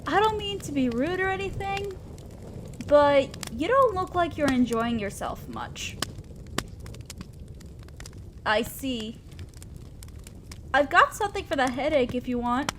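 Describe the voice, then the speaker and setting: A high, unhurried young female voice with noticeable background ambience behind it. A woman acts out a shy, kind neighbor in a roleplay audio drama, hesitant and awkward, rambling a little as she talks one-on-one. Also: animated, slight background noise, exaggerated voice. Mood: friendly, helpful. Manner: hesitant, soft-spoken, rambling, self-deprecating. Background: outdoor fire ambience.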